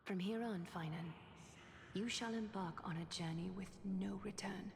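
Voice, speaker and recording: A woman's voice: mid-range voice, moderate pace, gravelly, background noise, close to an everyday voice. An actress playing a solemn, regal woman in a fantasy game with stately, ceremonial delivery. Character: solemn, regal woman facing her fate. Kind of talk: video game voice acting. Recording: echoing long reverb under loud music.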